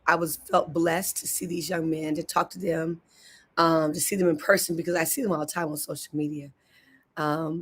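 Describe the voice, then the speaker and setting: A middle-aged woman with a slightly low, hoarse voice hosts a morning motivational show. She talks to her listeners in an earnest, storytelling way, and her voice sometimes turns emotional. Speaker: minister and show host. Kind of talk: morning motivational livestream talk.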